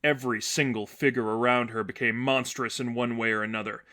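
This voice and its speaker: A man reading a story aloud as dramatic narration, in a measured, earnest, suspenseful manner. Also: medium pitch, fairly even intonation, pushed and bright. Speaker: narrator reading fan fiction.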